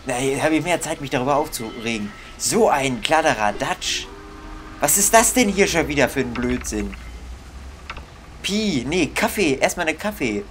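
A young man comments casually on a game he is playing. He grumbles along in comic exasperation at a moderate pitch. There is faint noise behind his voice. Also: fairly bright tone. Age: young adult. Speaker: let's player.

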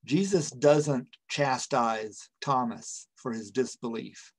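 A man in his sixties preaching a reflective sermon as a pastor, speaking deliberately in short phrases with pauses, into a computer microphone in a small room. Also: mid-range voice, breathy edge. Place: small room at home, indoors. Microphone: computer webcam or video call microphone.

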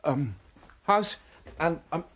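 A man's voice: a little high, expressive, slow, a bit bright, slightly rough, highs cut off, full character voice. An actor playing a flustered, polite middle-aged English man in a radio drama, hesitant and proper. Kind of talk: BBC radio drama acting.

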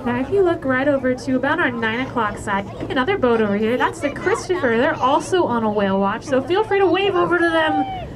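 A woman's voice: high-pitched, expressive, a little fast, a crowd in the background. A woman narrating a whale watching tour, chatty and playful, asking the passengers questions. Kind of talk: guided tour commentary over a PA. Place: outdoors on a moving boat at sea. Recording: loud boat engine and wind noise.

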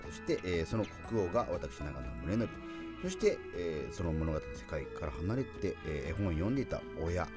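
A man's voice: deep voice, very animated, normal speed, over music. A man hosting a radio show, making announcements and chatting.

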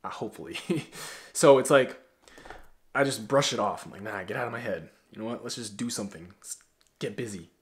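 A man in his twenties giving blunt, profane self-improvement advice straight to camera in a small bedroom, often swinging from loud to quiet. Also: on the low side, a little fast. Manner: blunt, profane, animated with big swings in loudness. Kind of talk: direct-to-camera advice vlog.

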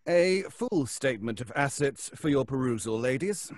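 Man acting sly courteous lawyer guy, lively pitch, dry close sound, horror audio drama. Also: no echo, close to an everyday voice. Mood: polite, composed. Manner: polite, measured, slyly amused. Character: smooth, wryly patient lawyer serving a dead boss.

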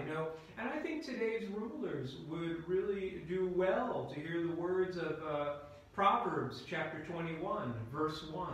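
A pastor, a man in his fifties, preaching a sermon in a halting, deliberate way with repeated words and pauses, inside a church. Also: high voice, a bit bright. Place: indoors in a church at the front by a screen. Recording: very echoey church room.

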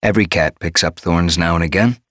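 A man voicing a male cat clan leader who speaks in a steady, gentle way. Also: low-pitched, fairly quick, no echo, clean studio sound.

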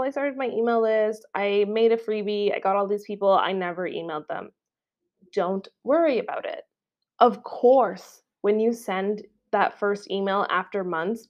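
A young woman with a Canadian accent, hosting a solo podcast in a casual, chatty way with frequent fillers. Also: mid-range voice. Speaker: podcast host.

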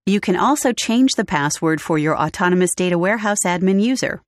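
A woman narrating a scripted step-by-step software walkthrough in a clear, even instructional manner. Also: lowish voice, a little fast, no room sound, studio quality. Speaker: tutorial narrator. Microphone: studio voice-over.

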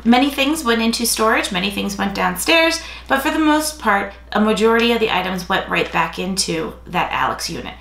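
A woman's voice: medium pitch, fairly quick, vocal fry, hardly any pauses. Chatty woman in an echoey craft room. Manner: chatty, self-correcting, relaxed. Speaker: craft channel host. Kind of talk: casual show-and-tell narration. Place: home craft room, indoors. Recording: bright echoey room with faint noise.

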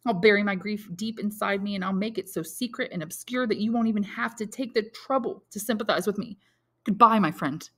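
A woman's voice: mid-range voice, brisk, pitched above the performer's own voice, lightly acted. A woman doing an anguished young soldier, raised and pleading. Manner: pleading, impassioned. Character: young male soldier, lovesick and despairing.

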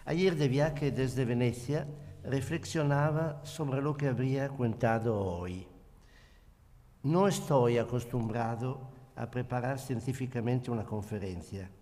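Older Italian-accented lecturer, slow, mellow, reads reflectively; table mic, hall reverb. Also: male voice, mid-range voice. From Italy. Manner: slow, measured, reflective reading. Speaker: guest speaker. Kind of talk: lecture read from notes. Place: conference hall at a panel table. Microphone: table microphone.

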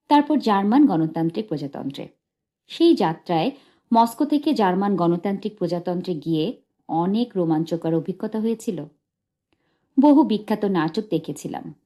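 A woman reading a memoir as an audiobook narrator, in a measured, literary storytelling delivery. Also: mid-range voice, mellow.

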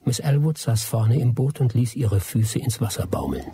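An actor playing a first-person narrator, giving measured, reflective storytelling in a radio drama. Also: male voice, mid-range voice, very animated, dark tone, slight background noise, natural voice. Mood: calm recollection. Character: male first-person narrator recalling a jungle expedition.

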